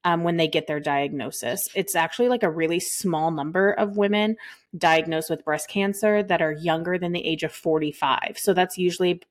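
Rambling woman, lowish voice. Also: normal speed. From Texas. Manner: rambling, laughs often, many fillers. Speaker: podcast co-host. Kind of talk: casual podcast chat.

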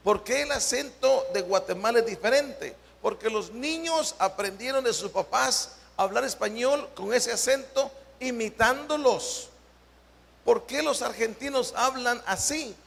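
A Salvadoran man preaching a Bible study as pastor, with slow, emphatic delivery that stretches key words and puts questions to the congregation. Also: very high-pitched, creaky, fairly bright tone. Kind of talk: sermon. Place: church hall. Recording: long church-hall reverb.

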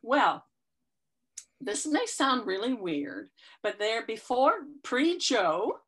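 Woman guest, bright and lively, a touch congested, an eager storyteller. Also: on the high side, slow pace. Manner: chatty, enthusiastic storyteller with frequent fillers. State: slightly stuffy from a cold. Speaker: interview guest, fitness client. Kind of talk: casual conversational chat.

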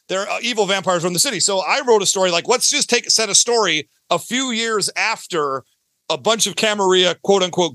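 A man's voice: high voice, pushed and bright, dry recording. A man from Detroit with an American accent, chatting casually and emphatically as a podcast guest who explains his creative work. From Detroit, United States.

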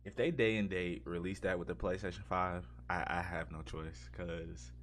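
A man's voice: sits low, fairly even intonation, moderate pace, a bit bright. A man hosting a casual gaming podcast, talking in a rambling way as he thinks aloud. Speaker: podcast host.

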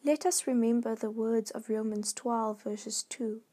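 A woman reading a scripted devotional in a measured, earnest way. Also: fairly high pitch, fairly even intonation, mellow. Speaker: devotional reader.

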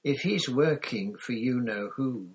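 A man's voice: medium pitch, animated, a little slow, slightly rough, pitched above the performer's own voice. A man reading an audiobook, voicing a timid, clever schoolgirl who speaks hesitantly.

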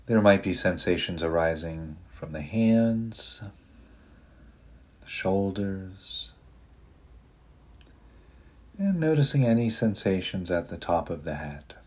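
Male meditation guide: deep and soft, very unhurried, gentle delivery with long pauses. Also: animated.

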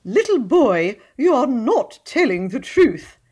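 A woman's voice: fairly high pitch, big pitch swings, dark tone, breathy edge, pitched above the performer's own voice, heavily acted. A woman reading aloud voices a prim, pompous thin male would-be poet who stammers and hesitates with many uh's. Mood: reproving. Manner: fussy, halting with many uh's.

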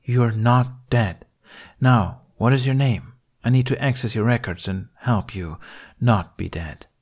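A man voicing a stern, brisk female alien healer who talks firmly and reassuringly. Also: medium pitch, expressive, darkish tone, pitched above the performer's own voice, natural voice. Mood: stern, soothing.

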